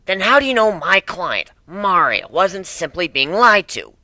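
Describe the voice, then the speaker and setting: Man voicing a forceful, swooping female griffin advocate. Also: high-pitched, big pitch swings, unhurried, dry recording, clean studio sound, pitched above the performer's own voice, full character voice. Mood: accusing, emphatic. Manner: emphatic, formal courtroom questioning.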